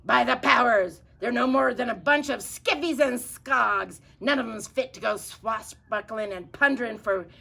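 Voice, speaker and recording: A woman voicing an excitable pirate parrot from a children's storybook, squawky and shrill, repeating short exclamations. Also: unhurried, creaky, few pauses, slightly rough, full character voice. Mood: excited squawking. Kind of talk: children's storybook read-aloud.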